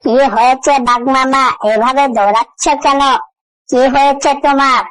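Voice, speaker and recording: Woman as a high, cheeky little monkey. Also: fairly even intonation, moderate pace, dry recording, natural voice, low-quality recording. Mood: curious. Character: cheeky, curious, confident small monkey. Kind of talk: cartoon fairy-tale acting.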